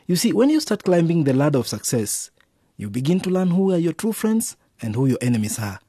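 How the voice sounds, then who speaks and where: A man's voice: a little high, big pitch swings, a little fast, dark tone, breathy edge, no room sound. A man playing a wise, encouraging middle-aged father in a radio drama.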